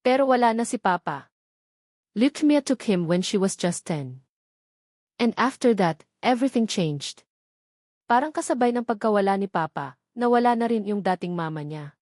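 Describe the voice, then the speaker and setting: A woman's voice: medium pitch, animated, moderate pace, pauses often, a little mellow, no room sound. Female Filipino narrator for emotional audio drama, mid-range voice, very dry recording. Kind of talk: dramatic scripted story narration in mixed Tagalog and English.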